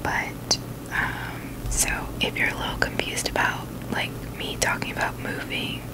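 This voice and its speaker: A woman whispering in an informal, halting ASMR style as she hosts a review video. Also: low-quality recording. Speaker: video host reviewing sneakers.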